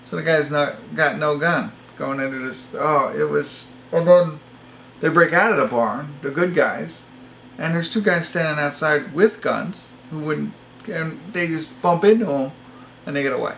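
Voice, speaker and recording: A man's voice: fairly high pitch, moderate pace, gravelly, a bit bright, slight background noise, low-quality recording. A man giving a casual, unscripted movie review to viewers, rambling and hesitant, with an apologetic way of talking. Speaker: amateur movie reviewer, host.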